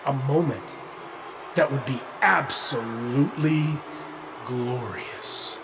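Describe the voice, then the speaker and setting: A man playing a smug, condescending veteran wrestling champion in a video game, with pompous, measured, theatrical speech. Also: medium pitch. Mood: grandiose. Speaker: professional wrestler. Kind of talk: in-ring wrestling promo. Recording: distant mic with clear noise.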